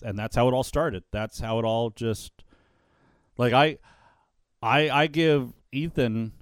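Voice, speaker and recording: A man who works as a wrestling ring announcer, telling stories in a casual podcast chat. He has a loud voice and gets animated, often repeating words when he is excited. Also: medium pitch, clean tone, no room sound, clean studio sound.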